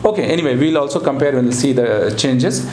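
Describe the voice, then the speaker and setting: A man's voice: medium pitch, lively intonation, fast talker, a little mellow. A middle-aged man with an Indian accent, a speech therapist conducting a formal patient interview. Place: small indoor clinic studio. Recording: echoey room with background noise.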